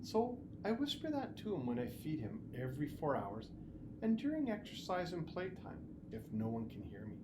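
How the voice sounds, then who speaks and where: Man reading as confiding father, animated pitch swings. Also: moderate pace. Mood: fond. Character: gentle, caring father confiding mildly guilty secrets. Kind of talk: storybook reading. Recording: noticeable background noise.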